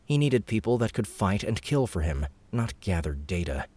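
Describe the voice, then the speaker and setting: A man narrating an audiobook in a steady, measured storytelling manner, close to the mic. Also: lowish voice. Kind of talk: scripted narration.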